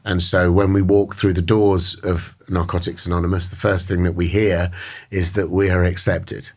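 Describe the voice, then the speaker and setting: A British man with a very low, deep voice talks slowly and thoughtfully in an interview. He pauses often and circles back on his phrases as he works through each idea. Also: little pitch movement, darkish tone. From Britain. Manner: measured, thoughtful, many fillers and restarts. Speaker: guest. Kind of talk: reflective interview talk.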